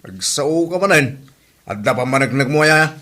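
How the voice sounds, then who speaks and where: A man's voice: mid-range voice, expressive, measured pace, sharp tone. A man with an Ilocano accent playing a whining, henpecked husband in a comedy audio drama. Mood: evasive, grumbling.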